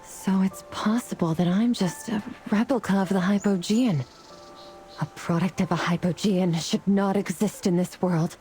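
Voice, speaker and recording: A woman's voice: mid-range voice, steady tone, moderate pace, mellow, soft background music, close to an everyday voice. A woman playing a noble, resolute celestial being in a game story, speaking formally and with composure. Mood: solemn resolve. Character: noble, solemn, resolute female celestial warrior. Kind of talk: scripted game voice acting.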